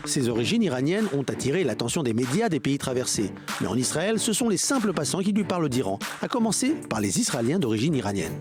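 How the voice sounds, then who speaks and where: Lively male news narrator over music. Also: medium pitch, quick pace. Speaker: reporter.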